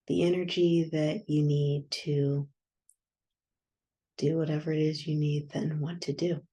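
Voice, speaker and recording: Slow, low, mellow woman guiding meditation. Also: vocal fry. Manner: soft, soothing, unhurried with long pauses. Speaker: yoga nidra guide. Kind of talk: guided meditation.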